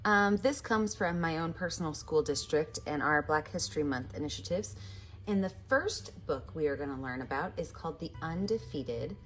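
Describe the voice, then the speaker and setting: A woman's voice: sits low, expressive, moderate pace. A woman art teacher hosting an instructional step-by-step tutorial, addressing viewers in a friendly, direct way. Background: background music.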